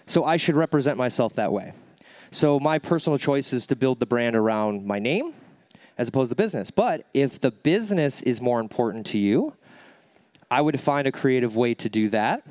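A man's voice: mid-range voice, normal speed, no room sound. A man with a nasal voice giving a live workshop talk, coaching the audience and checking in with them as he answers questions. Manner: energetic, coaching, checks in with "okay?" and "right?". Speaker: workshop speaker. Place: event stage.

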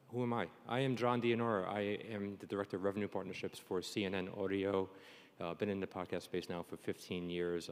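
Low-voiced man moderating in a reverberant room. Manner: chatty, jokey. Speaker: panel moderator. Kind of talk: informal live panel hosting. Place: on stage at a conference session. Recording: reverberant conference room sound.

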